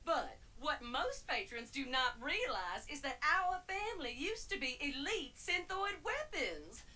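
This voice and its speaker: A woman's voice: sits high, sing-song, pushed and bright, heavily acted. A woman voicing a chirpy, chatty female robot spy in a video game, theatrical and wry as she narrates audio logs. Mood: fond, ominous. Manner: theatrical, perky, drawn-out emphasis with wry asides.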